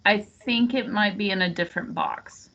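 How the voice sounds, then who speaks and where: A woman co-hosting an online library meeting, speaking in a calm, helpful way. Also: medium pitch, normal speed. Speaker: library staff co-host. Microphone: video call. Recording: muffled online meeting audio.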